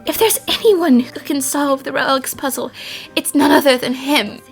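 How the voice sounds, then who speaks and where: Woman playing dying gentle mother, high pitch, halting, pleading, dramatic animation dub, faint music. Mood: urgent, pleading. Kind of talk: dramatic fan dub acting.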